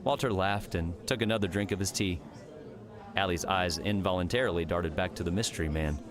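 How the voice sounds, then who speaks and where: A man's voice: sits low, a little fast, a crowd in the background. A man reading scripted third-person story narration as an audiobook narrator.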